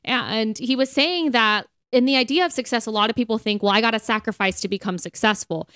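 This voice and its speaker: A woman's voice: fairly high pitch, a little fast, a bit bright, smooth, dry recording, studio quality. An American woman co-hosting a podcast, talking casually and emphatically, with "like" sprinkled all through her speech. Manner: chatty, emphatic, heavy use of "like". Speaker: podcast co-host. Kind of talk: casual conversational podcast talk.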